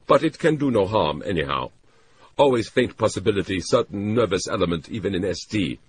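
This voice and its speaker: A man voicing a stern Japanese male trade official who speaks in clipped, formal phrases and shouts when angered. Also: on the low side, lively intonation, fairly quick, slight background noise, pitched above the performer's own voice, natural voice. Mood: calm.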